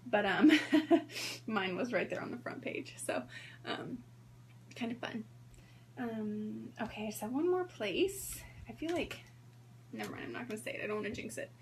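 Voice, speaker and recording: A woman in her thirties hosting a sewing livestream in a hoarse voice, chatting casually and greeting viewers by name. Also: medium pitch, moderate pace, long pauses, a little mellow, slight background noise. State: hoarse from strep throat.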